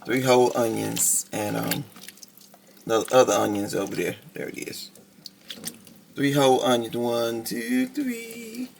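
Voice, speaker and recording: Raspy, gravelly woman vlogger. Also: rumbling low, lively intonation, measured pace, darkish tone, a little hiss or hum. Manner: chatty, talks straight to viewers. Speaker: cooking vlogger. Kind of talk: casual cooking vlog.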